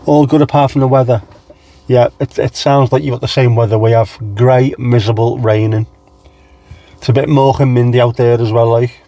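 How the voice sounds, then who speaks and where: A man with a light, not very deep Scouse accent hosts a casual livestream. He rambles easily from one thought to the next and greets his viewers by name. His voice sits at an everyday pitch with a mellow, dark-toned warmth. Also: steady tone, fairly quick, dry recording. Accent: mild Scouse. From England. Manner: chatty, rambling, reads out chat names and answers them. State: low on energy. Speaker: livestream host.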